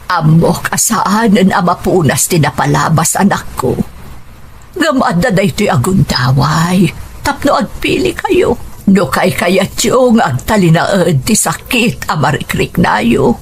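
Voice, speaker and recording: Woman acting a patient, pleading Ilocano mother, animated intonation. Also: on the low side, unhurried, slight background noise. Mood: earnest pleading. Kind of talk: radio drama acting.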